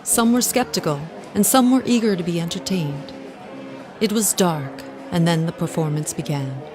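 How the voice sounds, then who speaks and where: An actress playing a resentful, well-to-do wife, narrating formally and dramatically in an audio drama. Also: female voice, on the low side, moderate pace, music in the background, lightly acted. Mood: ominous. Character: resentful, well-to-do wife recounting her confession.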